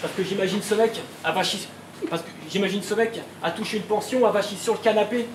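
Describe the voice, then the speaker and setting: A man's voice: sits high, steady tone, fast. A man battle rapping a cappella, firing insulting punchlines at his opponent. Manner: insulting, punchline-driven delivery. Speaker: battle rapper. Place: event hall. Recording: echoey hall with background noise.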